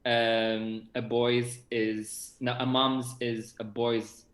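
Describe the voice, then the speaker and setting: Young male theatre director, average pitch, animated halting talk, roomy echoey video-call sound. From Portugal. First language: Portuguese. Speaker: theatre director being interviewed. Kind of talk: casual interview chat. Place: indoor room on a video call.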